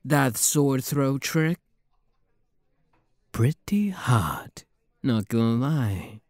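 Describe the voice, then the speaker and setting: Man playing a flirty, animated demon prince. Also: mid-range voice, drawn-out words, no room sound, studio quality. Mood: amused, disbelieving. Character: flirty, playful demon prince, the listener's rival. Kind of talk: intimate ASMR roleplay audio.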